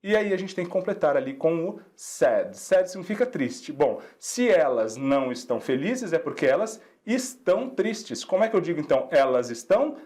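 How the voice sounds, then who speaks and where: A Brazilian man with Portuguese as his first language, teaching an English lesson and patiently repeating each phrase slowly so students can drill it. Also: on the high side, big pitch swings, darkish tone. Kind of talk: classroom lesson explaining exercises.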